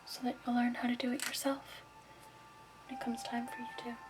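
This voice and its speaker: A woman doing an ASMR shopkeeper roleplay in a soft, breathy, whispered voice, gently instructing. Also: a little high, flat delivery, brisk, music in the background. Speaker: ASMR performer playing a crystal shop keeper.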